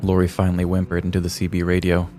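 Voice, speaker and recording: A man's voice: deep voice, monotone, quick pace. An American man in his thirties reading scripted comedic story narration as a podcast host. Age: 30s. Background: faint music.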